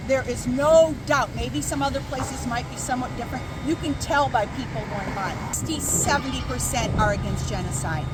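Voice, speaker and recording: An older woman activist giving an impassioned street interview, emphatic and repeating words for stress. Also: high-pitched. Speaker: activist, fasting protester. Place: outdoors at a city street protest. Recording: clear street traffic noise.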